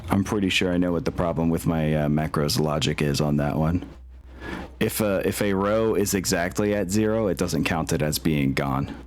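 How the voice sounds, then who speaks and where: A man's voice: low-pitched, moderate pace. A man narrating a tabletop game as game master, informal and profane, often thinking aloud.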